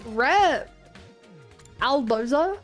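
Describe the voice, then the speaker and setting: A woman's voice: high-pitched, expressive, very slow, soft background music. A woman in her twenties streaming a game, rambling and joking as she talks to her chat. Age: 20s. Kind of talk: casual gameplay commentary.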